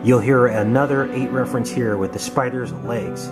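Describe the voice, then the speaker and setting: A man's voice: medium pitch, moderate pace. A man giving an earnest explanatory commentary over film clips, addressing his listeners as a host would. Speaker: host and narrator of conspiracy videos. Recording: loud music behind the voice. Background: loud background music.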